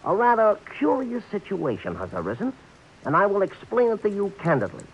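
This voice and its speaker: An actor playing a small-time racetrack bookie who talks in florid, overly formal phrases mixed with track slang, in a 1940s radio play. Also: male voice, sits high, wildly swooping pitch, fairly quick, husky, exaggerated voice. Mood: pompous. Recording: muffled vintage radio broadcast.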